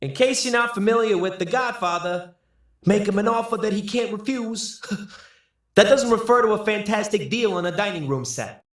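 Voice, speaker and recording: An actor playing a smug political aide turned informer, speaking in a pointed, wry way in an audio drama. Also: male voice, sits high, animated, normal speed, a bit bright. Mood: accusing, smug. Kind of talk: audio drama acting, public statement. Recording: roomy, echoing recording.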